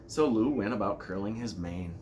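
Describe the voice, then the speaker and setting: A man reading a children's storybook aloud like a school principal, with deliberate, expressive storytelling. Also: lowish voice, a little hiss or hum.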